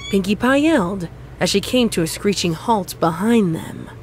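A woman's voice: medium pitch, expressive, normal speed, very noisy. A woman reading a comic fan fiction story as scripted narration.

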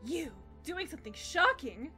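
Woman as a perky, high-voiced pony herbalist. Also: a little slow, full character voice. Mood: perky, teasing. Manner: animated, teasing. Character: perky, excitable young female pony herbalist, loyal best friend. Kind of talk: animated fan drama acting. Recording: music under the voice.

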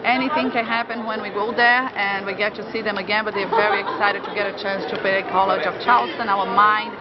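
Woman volleyball coach talking after a match, earnest, bit phrasing, loud crowd and noise around, dull lo-fi sound. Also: mid-range voice, steady tone. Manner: earnest, measured, slightly non-native phrasing. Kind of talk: postmatch press interview. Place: college gym after a match. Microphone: handheld camera close up.

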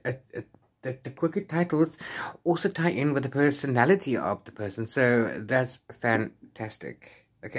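A man in his forties talks off the cuff to a camera close by in a room at home. His voice sits at a medium pitch. He is playful and wandering, repeating and correcting himself as he reviews books. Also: slightly rough. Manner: rambling, self-correcting, playful. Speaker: book reviewer vlogger. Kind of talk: casual unscripted chat to camera.

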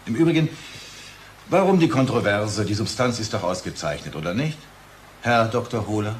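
An actor playing a brisk, market-minded pharmaceutical boss, pompous and impatient, in a German radio drama. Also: male voice, lowish voice, lively intonation, a little hiss or hum, close to an everyday voice. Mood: placating. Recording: clear background room ambience.

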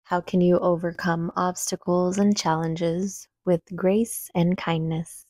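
A woman leading a guided meditation with calm, soothing, unhurried instruction. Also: lowish voice, no room sound, studio quality.

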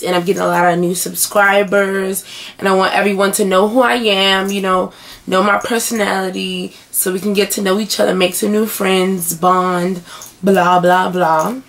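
A young woman vlogging in a small bedroom, hoarse and chatty as she answers tag questions to her webcam. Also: mid-range voice. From United States. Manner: chatty, animated hand gestures. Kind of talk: casual chat.

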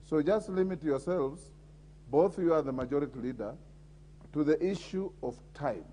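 A man's voice: on the high side, expressive, moderate pace, mellow, a little hiss or hum. A middle-aged Kenyan man chairing a parliamentary debate as speaker, firmly calling members to order. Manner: firm, calling order repeatedly. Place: large parliament chamber. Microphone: desk microphone.

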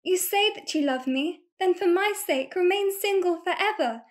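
A woman's voice: extremely high pitch, normal speed. A woman playing an effusive, lovelorn young lady with florid, formal delivery in a radio-play farce. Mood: pleading.

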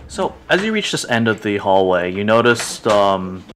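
A man's voice: lowish voice, big pitch swings, moderate pace, fairly bright tone, slight background noise. A man acting as game master, casually narrating a tabletop game in an easygoing way.

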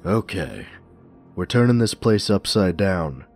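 A man playing a hard-boiled, commanding detective with terse, gruff delivery in an audio roleplay. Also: low-pitched, sing-song, slow, over music, full character voice. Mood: urgent, commanding.

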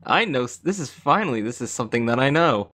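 A man co-hosts a casual podcast and earnestly walks the others through singing basics. His voice is at an everyday middle pitch. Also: lively intonation, fast talker, a bit bright. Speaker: podcast co-host.

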